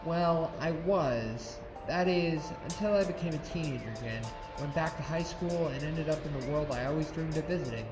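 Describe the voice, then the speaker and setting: An everyday teen guy, voiced by a man, slightly high and conversational as he introduces himself. The room rings with echo and music runs underneath. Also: normal speed, hardly any pauses, lightly acted. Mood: confiding. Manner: chatty, confiding intro narration. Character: ordinary teenage guy narrator. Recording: echoey room with music bed.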